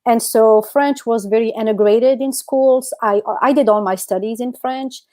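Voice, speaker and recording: A middle-aged woman from Algeria, a native Amazigh speaker, co-hosting a casual live chat and telling things in an animated way. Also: fairly high pitch, measured pace. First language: Amazigh (Berber). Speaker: co-host.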